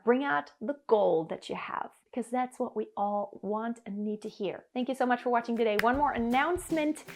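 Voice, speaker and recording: A woman's voice: fairly high pitch, a little mellow. A woman in her thirties from Germany, a vocal coach giving an earnest, encouraging singing lesson to camera in a living room. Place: living room in front of an upright piano.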